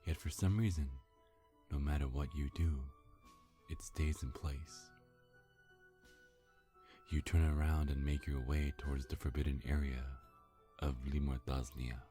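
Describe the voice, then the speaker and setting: A man with an extremely deep voice narrates a scripted ASMR story at a slow pace. His tone is dark and mellow, with faint music underneath. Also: fairly even intonation.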